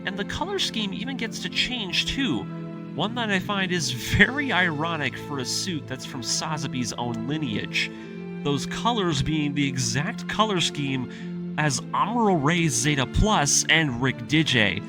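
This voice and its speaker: An excitable man with a fairly high voice narrates a fan video essay over music. His delivery is informal and emphatic, swinging widely in pitch like an eager storyteller. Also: unhurried. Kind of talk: enthusiastic video essay commentary on anime story. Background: music under the voice.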